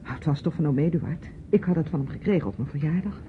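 A woman's voice: sits low, fast, natural voice. An actress playing an evasive, exasperated niece in her thirties in a radio drama, polite but hesitant and defensive. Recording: muffled, noisy old radio play recording.

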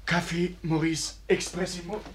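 A man's voice: on the high side, level tone, measured pace, slight background noise, close to an everyday voice. An actor in a German radio play playing an eager, crude gangster henchman with a foreign accent and broken grammar. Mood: eager, grumbling. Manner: broken, ungrammatical speech.

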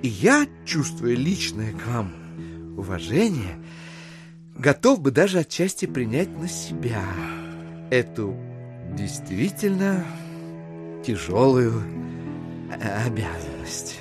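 A man playing an ingratiating gentleman swindler in an audio drama, speaking in florid, roundabout, hesitant phrases. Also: medium pitch, very animated, slow pace, pauses often, over music. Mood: pompous, cautious.

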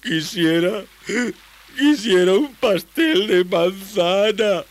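A man's voice: sits high, voice pushed up. A man voicing a desolate creature from a children's story, sobbing and whining as it drags out its words. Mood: tearful misery. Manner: slow, drawn-out sobbing whine. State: crying. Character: desolate, weeping creature under a bridge, forever sad.

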